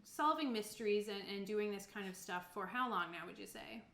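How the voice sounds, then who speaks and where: A woman's voice: medium pitch, fairly quick, a loud crowd. A woman from Cape Breton Island in Canada, narrating a tabletop game in an informal, playful, conversational way. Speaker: game master of a tabletop roleplaying stream. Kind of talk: casual game narration and chat. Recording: echoey room.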